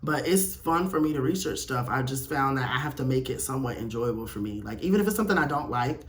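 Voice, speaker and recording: A man's voice: medium pitch, lively intonation, fast talker. A man hosting a casual solo podcast, reading aloud and reacting in a candid, sassy way with playful swearing. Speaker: podcast host. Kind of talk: casual chat reacting to a quiz read aloud.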